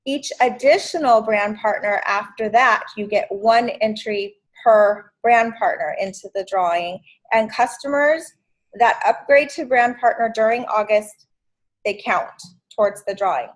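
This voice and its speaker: A woman's voice: medium pitch, unhurried, rough recording. A woman in her fifties hosting a sales training video call, chatty and encouraging. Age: 50s. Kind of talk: casual video call meeting.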